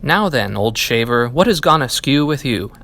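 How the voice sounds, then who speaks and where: A man's voice: medium pitch, very animated, moderate pace, sharp tone, exaggerated voice. A man voicing a big, friendly, confident donkey in a storybook, hearty and persuasive. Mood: hearty, inviting. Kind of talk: storybook character reading.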